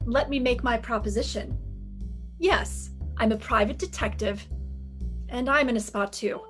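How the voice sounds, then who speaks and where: Radio mystery lead: actress as brash young female detective, fairly high voice, sassy and wry, music behind. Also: unhurried, close to an everyday voice. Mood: brisk, persuasive. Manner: sassy, wry, self-assured. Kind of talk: retro radio detective drama with first-person narration.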